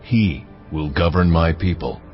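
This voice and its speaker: An actor playing God in a dramatized audio Bible, with a majestic, grave voice and slow, weighty delivery. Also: male voice, deep voice. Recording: slightly dull sound with music underneath.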